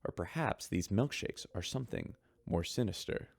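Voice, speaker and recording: An actor playing a chatty, gossipy radio show host on a spaceship in an audio drama. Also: male voice, on the low side, animated, normal speed, vocal fry, no echo, clean studio sound. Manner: chatty, gossipy announcer delivery. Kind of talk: radio drama acting as a broadcaster.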